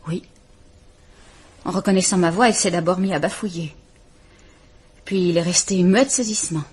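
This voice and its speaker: A frightened wife stands her ground with tense defiance. Her voice sits on the low side and sounds husky and rough. She speaks in the manner of an old radio drama. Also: normal speed, darkish tone. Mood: defiant. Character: frightened but stubborn wife. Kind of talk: 1950s French radio drama acting.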